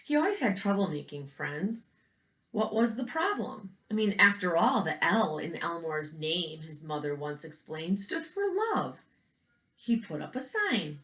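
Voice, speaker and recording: A woman with a fairly low voice reads a picture book aloud in an echoey room. She tells the story expressively and adds little asides for young listeners. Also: big pitch swings, a bit bright. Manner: pauses to comment on pictures as to young children. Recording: roomy, echoing indoor recording.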